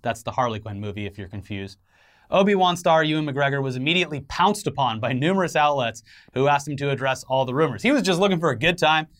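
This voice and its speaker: A man's voice: medium pitch, animated, fast talker, fairly bright tone. A man co-hosting an entertainment news show with casual, sarcastic, irreverent commentary and some swearing.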